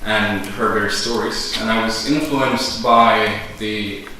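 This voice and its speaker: A man's voice: fairly low pitch, steady tone, slow, pushed and bright. A man speaking as an activist on a debate panel, earnest, with filler ums. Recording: echoey hall, distant mic, faint noise.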